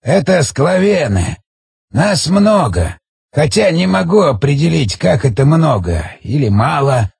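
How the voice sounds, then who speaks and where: A man voicing an ancient, frightened underground elder in an audiobook, speaking in slow, hushed, halting phrases. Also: a little high, big pitch swings, vocal fry, slightly rough, no room sound, exaggerated voice. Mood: shaken.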